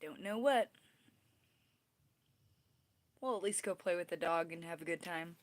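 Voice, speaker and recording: A woman in her thirties with a slightly low voice, chatting loosely to her livestream viewers. She rambles from one thought to the next. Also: fairly quick. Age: 30s. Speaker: livestream host. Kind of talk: casual livestream chat.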